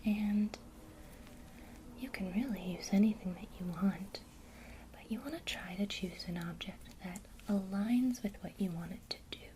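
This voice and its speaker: Woman, ASMR guide, unhurried soft whisper, faint crinkle behind. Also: medium pitch, slight background noise. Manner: soft unvoiced whisper, slow and calm. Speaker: ASMR creator teaching witchcraft.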